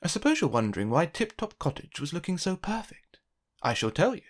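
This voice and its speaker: Expressive, lively children's storybook narrator. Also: mid-range voice, brisk.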